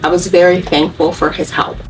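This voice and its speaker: Woman educator, lowish voice, distant mic, faint room noise, grateful anecdote. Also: little pitch movement, brisk, soft background music. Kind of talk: interview testimonial.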